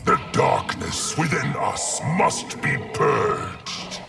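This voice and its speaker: Gravelly, menacing undead soul-collective warrior. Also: male voice, medium pitch, big pitch swings, extremely slow, exaggerated voice. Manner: solemn, speaks of himself as we. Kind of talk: fighting game character dialogue. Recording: loud game music behind.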